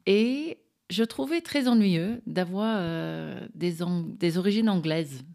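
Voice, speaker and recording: Low-voiced Australian woman speaking accented French. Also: expressive, takes it slowly, vocal fry, a little mellow. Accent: Australian-English accent in French. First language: English. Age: middle-aged. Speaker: interview guest. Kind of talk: casual conversation.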